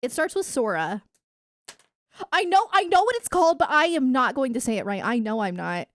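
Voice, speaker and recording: A woman chatting casually to viewers on a livestream in a giggly, animated, rambling way. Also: high-pitched, normal speed, no echo. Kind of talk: casual livestream chat about anime and games.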